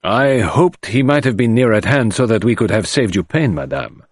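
A man's voice: on the low side, lively intonation, normal speed, dry recording, clean studio sound. A man voicing an officious French magistrate. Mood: urgent. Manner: urgent and formal.

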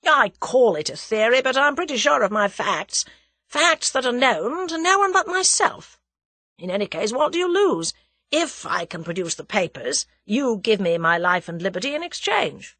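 A woman's voice: a little high, sing-song, normal speed, sharp tone, no echo, voice pushed up, heavily acted. A woman voicing a cool, cocky young Englishman, scornful and confident as he bluffs his captors in a story. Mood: assured.

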